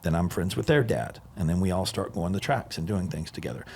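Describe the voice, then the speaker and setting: A man's voice: low-pitched, brisk, darkish tone, slight background noise. A man in his forties hosting a podcast, telling stories in an enthusiastic, rambling casual chat. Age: 40s. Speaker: podcast host.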